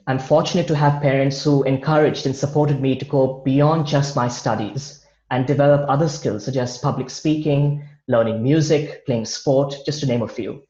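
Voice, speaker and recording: A man presents a prepared talk over a video call. He reads from notes at an even, careful pace and sometimes restarts a word. The sound is roomy and dull. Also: mid-range voice. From India. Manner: measured, read-from-notes delivery with occasional restarts. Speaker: guest speaker. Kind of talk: online presentation over slides.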